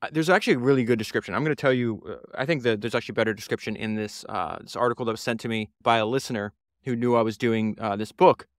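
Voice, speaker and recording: A man's voice: mid-range voice, rapid-fire, dry recording, clean studio sound. A man, hosting a solo podcast and talking through a book in an earnest, rambling way with many fillers and restarts. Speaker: podcast host.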